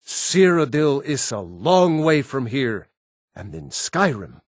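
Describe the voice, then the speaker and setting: An actor playing a fervent Nord preacher in a fantasy video game, declaiming with bombastic, drawn-out oratory. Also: male voice, mid-range voice, big pitch swings, no echo, studio quality. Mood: indignant, scornful. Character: fervent Nord preacher zealously devoted to his god Talos.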